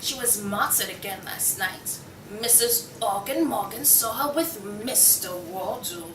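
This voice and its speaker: A young woman in a play table read, playing a prim, sharp-tongued wife who speaks with deliberate disapproval. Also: mid-range voice, close to an everyday voice. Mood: prim, scornful. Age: young adult. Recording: echoey room, distant mic, clear noise.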